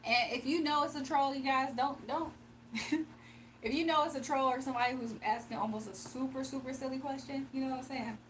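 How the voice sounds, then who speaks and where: Woman live-streamer, chatty, slightly strained voice, fairly high pitch, faint room ambience. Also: steady tone, fairly quick, fairly bright tone, a little hiss or hum, poor audio. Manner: chatty, reads out viewer comments, laughs often. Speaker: livestream card reader. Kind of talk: casual live-stream chat and readings.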